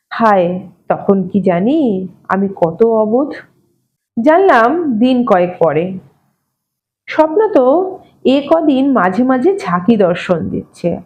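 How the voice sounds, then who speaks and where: A woman reading an audio story in an expressive, measured, wry narrating manner. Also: mid-range voice, dull audio. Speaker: story reader.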